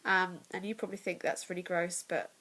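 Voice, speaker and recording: A woman's voice: lowish voice, flat delivery, brisk, bright, forceful tone. A young English woman vlogging casually about her pregnancy, congested and nasal, chatty and candid, often dropping to a whisper, at home in the evening. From England.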